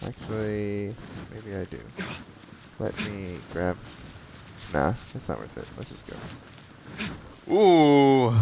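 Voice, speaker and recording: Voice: medium pitch, background noise. A streamer giving rambling commentary while playing a video game, talking to themselves. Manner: rambling, talks to self. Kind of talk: gameplay commentary.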